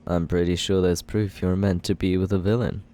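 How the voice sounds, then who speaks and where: A man's voice: sits low, flat delivery, normal speed, mellow, natural voice. A young man playing a cocky male villain who courts the listener in an ASMR roleplay, teasing and laid-back as he justifies himself.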